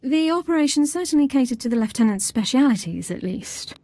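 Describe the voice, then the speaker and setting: An actress playing a dry, formal military aide with an understated manner in a video game. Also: female voice, high voice, lively intonation, brisk, warm tone, no room sound. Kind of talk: video game cutscene dialogue.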